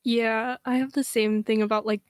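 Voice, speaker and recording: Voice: mid-range voice, little pitch movement, normal speed, clean tone, no room sound, clean studio sound. A young adult with a feminine-sounding voice, talking hesitantly on a casual panel with lots of fillers and restarts.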